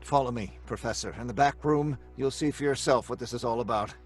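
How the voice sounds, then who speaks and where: An actor playing an obsessed young scientist in old-time radio horror style, earnest, dramatic and deliberate. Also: male voice, mid-range voice, faint music behind, close to an everyday voice. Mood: nervous, polite.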